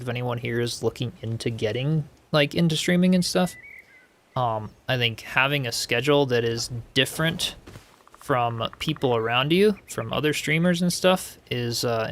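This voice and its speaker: A man's voice: mid-range voice, moderate pace. A man streaming a building game, chatting casually with his viewers and reading chat aloud, rambling with lots of filler words. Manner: relaxed, rambling, reads chat aloud, frequent likes and you-knows.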